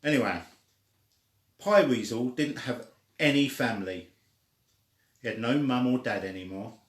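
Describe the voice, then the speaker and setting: Slow-paced older man reading a story, echoey. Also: mid-range voice. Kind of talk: informal read-aloud children's story. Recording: echoey room.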